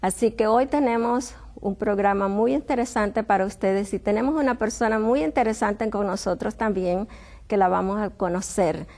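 A woman's voice: mid-range voice, normal speed, a little mellow. A middle-aged woman hosting a television health program, welcoming and interviewing a guest with frequent hesitations and restarts. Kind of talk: friendly TV interview.

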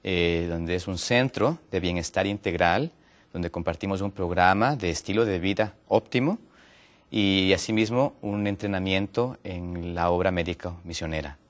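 Low-voiced Ecuadorian male health counselor. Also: normal speed. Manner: hesitant, many fillers, rises in pitch when making points. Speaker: guest, natural medicine health counselor. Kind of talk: explaining on a TV talk show.

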